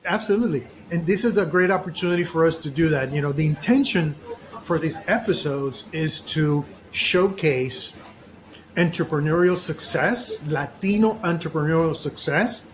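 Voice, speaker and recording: A man with a slightly high voice hosts an interview podcast, with some background noise around him. He speaks deliberately and leans on repeated phrases to stress his points. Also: low-quality recording. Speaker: podcast host.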